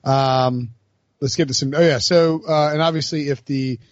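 A man's voice: fairly high pitch, steady tone, normal speed, dry recording. Man hosting a sports podcast, casual and chatty, dry close sound, slightly dull audio. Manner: loose, laughing, filler-heavy. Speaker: podcast host.

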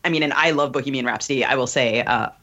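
A woman's voice: very deep voice, quick pace, sharp tone, muffled recording. A woman with an American accent hosting a conversational podcast, phrasing things thoughtfully and a little hesitantly. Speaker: podcast host.

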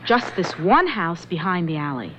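A woman's voice: on the low side, sing-song, normal speed, fairly bright tone, a little hiss or hum. An actress playing a sensible young woman who earnestly explains herself in a radio drama. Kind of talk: 1970s radio drama acting. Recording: dull lo-fi radio recording.

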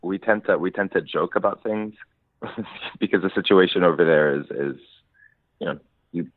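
A man's voice: low-pitched, normal speed, no room sound. A man from Lebanon, a musician being interviewed, talking thoughtfully and laughing as he tells stories. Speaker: musician guest. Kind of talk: conversational interview. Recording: dry tinny phone-like line.

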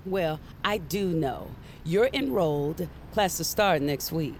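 An actress playing a blunt, no-nonsense, mysterious mentor with a gruff delivery in an audio drama. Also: female voice, expressive, slow pace, heavily acted. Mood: insistent. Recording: faint vehicle rumble behind.

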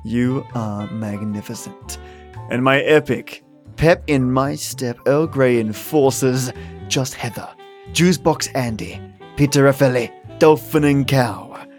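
A man's voice: medium pitch, slow pace, darkish tone. Effusive podcast host man, thanking listeners. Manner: effusive, playful, grateful. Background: faint music.

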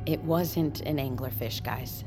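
An actress playing a hesitant but convinced crew member in an audio drama, earnest and quietly insistent. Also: female voice, very deep voice, a little slow, over music.

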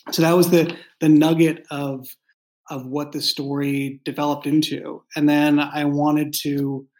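Man, halting, with narrow pitch range. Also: flat delivery, a little mellow. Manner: halting, searching for words. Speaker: podcast guest, writer and creator. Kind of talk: casual, thoughtful interview talk.

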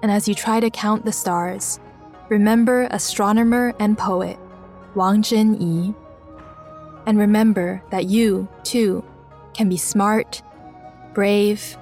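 A woman's voice: medium pitch, steady tone, takes it slowly, long pauses, darkish tone. Woman narrating a children's story over music. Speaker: narrator of a children's biography podcast.

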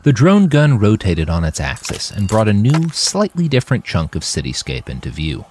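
A man reading scripted fiction narration in an expressive, dramatic storytelling manner. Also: fairly low pitch, normal speed, soft background music. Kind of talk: scripted audiobook-style narration of a dystopian war novel.

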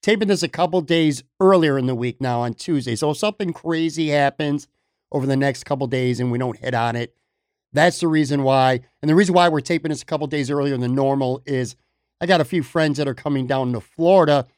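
A man's voice: medium pitch, brisk, darkish tone, no room sound, clean studio sound. A man turning fifty, hosting a podcast in a chatty, rambling, friendly way, calling his guest "man" and "brother". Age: 50s. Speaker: podcast host. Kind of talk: casual chat.

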